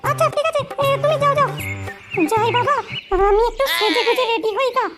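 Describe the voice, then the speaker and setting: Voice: very high-pitched, fairly quick. A performer voicing a middle-aged village housewife in a comedy cartoon, whiny and wheedling with lots of exclamations. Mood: curious, chatty. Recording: music behind the voice.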